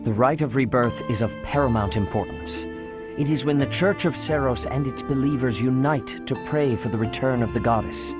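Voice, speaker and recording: A man's voice: medium pitch, moderate pace, over music, close to an everyday voice. A man playing a strict, dutiful church official in a video game, speaking in a formal, precise, stern manner. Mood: grave, dutiful.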